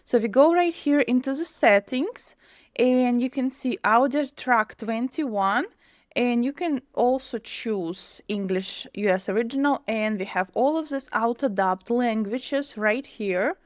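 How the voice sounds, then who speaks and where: A woman's voice: a little high, measured pace, dry recording, studio quality. Woman explaining to camera. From Ukraine. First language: Russian. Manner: earnest, patient explaining with small grammar slips. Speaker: YouTube creator.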